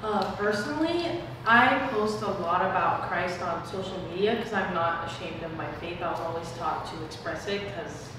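A woman's voice: lowish voice, normal speed, few pauses. A nineteen-year-old woman, a guest on a discussion show, talking eagerly and chattily with casual "like"s. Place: church room, small group at a table. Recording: echoey room, heard from a distance, background noise.

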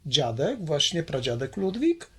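An older man recalling his family history in a halting way, full of pauses and hesitations. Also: on the high side, huge pitch swings. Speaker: interviewee, longtime local resident. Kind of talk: oral history recollection.

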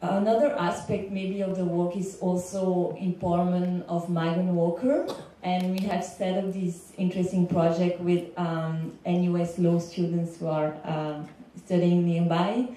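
A young woman with a Belgian accent giving an earnest, halting semi-prepared speech on a panel as a legal consultant. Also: lowish voice, fairly even intonation, dark tone. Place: indoor lecture room panel. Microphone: handheld microphone, recorded by far camera. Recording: echoey room, distant, faint background noise.

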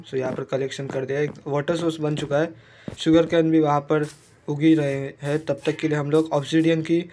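A man, hoarse from a cold, chatting casually as he narrates his own game play and mixes English words into Hindi. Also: on the high side, a little mellow, slight background noise. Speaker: gaming YouTuber.

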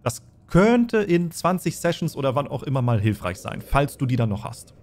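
A man's voice: mid-range voice, big pitch swings, normal speed, a little mellow, slight background noise. A man acting as game master, patiently explaining tabletop game rules step by step and narrating.

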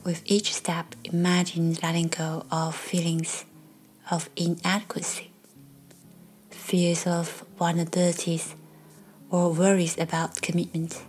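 A woman guiding a meditation in a soft, breathy, half-whispered voice, speaking gently in measured short phrases with long pauses. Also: on the low side, monotone, poor audio. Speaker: meditation guide. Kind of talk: guided meditation, slow scripted narration. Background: faint background music.

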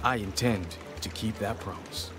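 A man playing a sincere, warm-hearted soldier in a game, reading a letter to his brother aloud in an earnest, conversational way. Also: lowish voice, moderate pace, lightly acted. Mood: sincere, hopeful. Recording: prominent music behind the voice.

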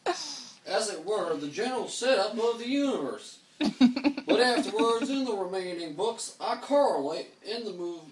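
A young man with a high, very varied voice performs a formal old scientific text as he reads it aloud. The room echoes around him, and he is a few metres from the microphone. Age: young adult. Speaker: reader taking turns in an informal group reading. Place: small bare room indoors. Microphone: camera mic from a few metres. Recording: echoey room, distant mic, faint hiss.

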